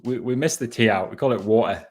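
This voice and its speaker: A man's voice: lowish voice, fast, soft background music. A man in his thirties with a northeast English accent, hosting a casual live question-and-answer stream and rambling with frequent laughs and self-corrections. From northeast England. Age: 30s. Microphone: headphones on.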